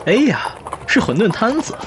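Man playing an animated, high-voiced young nobleman. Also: normal speed, noisy background. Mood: excited. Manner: chatty, exclamatory, teasing. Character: talkative, excitable young nobleman, cheeky and dramatic. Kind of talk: Chinese audio drama acting.

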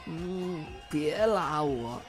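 Casting: male actor as playful, stubborn young guy for radio drama, notably high voice, music bed. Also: animated, very slow. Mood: drunk, childish. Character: playful, stubborn young man, orphan, sometimes drunk. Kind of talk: audio drama acting.

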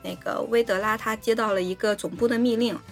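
A woman's voice: fairly high pitch, fairly even intonation, a little fast, soft background music. A woman co-hosting a casual film discussion, going step by step with repeated linking words. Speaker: podcast co-host.

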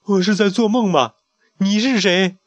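A man voicing a chubby, jumpy young chicken boy in a bedtime storybook, high and frantic. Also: fairly even intonation, fairly quick, no room sound, natural voice. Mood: panicked.

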